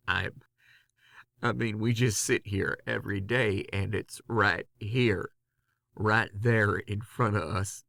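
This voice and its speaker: An actor playing a haunted, panicked man recording a halting, anguished confession in a horror audio drama. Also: on the low side, bright, forceful tone, no room sound, heavily acted. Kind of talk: first-person horror audio drama monologue.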